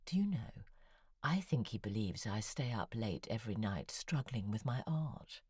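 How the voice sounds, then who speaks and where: An actress playing a middle-aged romance novelist in an audio drama, speaking in a quiet, confiding way that sometimes drops to a whisper. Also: female voice, a bass voice, expressive, moderate pace, breathy edge, no room sound, heavily acted. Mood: wry. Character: introspective middle-aged woman romance novelist, wry and lonely. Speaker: confessional monologue. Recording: dry close studio with faint music.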